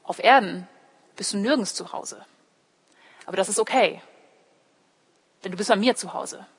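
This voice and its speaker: A young woman gives a sermon in a space with long reverb. She is a native English speaker who grew up in Germany, with a mid-pitched voice. She explains things directly to her listeners and slips into short imagined quotes. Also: normal speed, husky. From Germany, born in California and raised in Heidelberg. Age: young adult. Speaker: theology student preaching at church. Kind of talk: sermon with personal illustrations. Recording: echoey hall with long reverb.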